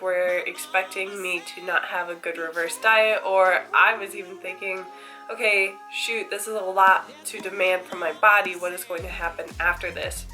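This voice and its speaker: A woman's voice: medium pitch, sharp tone. A young woman with a congested voice from a cold, chatting candidly to camera about her fitness routine. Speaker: fitness vlogger. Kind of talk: casual chat to camera.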